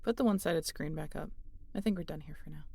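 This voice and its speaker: Female xenobiologist played by a woman: guarded, dry wit, lowish voice with heavy fry, sci-fi audio roleplay. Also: fast, a little mellow, exaggerated voice. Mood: wary. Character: guarded, sardonic female xenobiologist aboard a spaceship.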